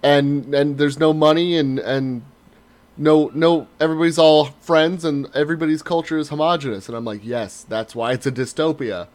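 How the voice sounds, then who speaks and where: A man's voice: a little high, steady tone, normal speed, a little mellow. A man co-hosting a casual podcast, giving his opinions in a blunt, emphatic way. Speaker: podcast co-host.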